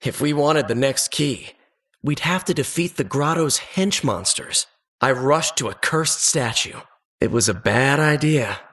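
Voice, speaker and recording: A man's voice: medium pitch, unhurried, fairly bright tone. A man playing an earnest adventurer who narrates childhood dungeon exploits for a video game in a suspenseful, wistful storybook manner. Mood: solemn suspense.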